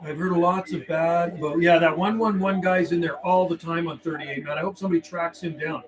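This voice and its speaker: High-voiced man on CB radio, chatter behind. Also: steady tone, a little fast, rough recording. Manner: laid-back, friendly slang, says "man" and "buddy". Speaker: CB radio operator and live streamer. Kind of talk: radio calls and casual livestream chat.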